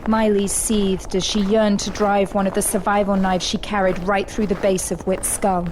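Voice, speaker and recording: A woman's voice: mid-range voice, flat delivery, moderate pace. Woman narrator over noisy ambience. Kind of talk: scripted dramatic narration.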